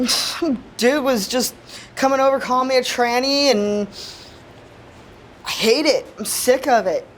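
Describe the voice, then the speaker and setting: A woman's voice: sits high, unhurried, long pauses, slight background noise. A woman speaking bluntly and sounding fed up in a conversational role-play.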